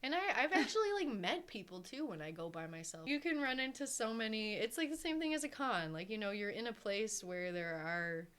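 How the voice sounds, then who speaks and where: A woman co-hosting a podcast in casual chat, talking in a rambling, animated way and acting out what others said. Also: mid-range voice, a little fast, hardly any pauses. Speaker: podcast co-host.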